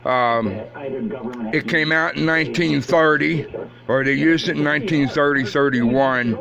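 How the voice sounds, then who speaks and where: A man giving a casual, ad-libbed hands-on demonstration in a halting, meandering way, as an amateur restorer showing his work. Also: on the high side, gravelly. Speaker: amateur radio restorer presenting own video. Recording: dull lo-fi camera audio, radio chatter behind. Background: shortwave radio playing voices.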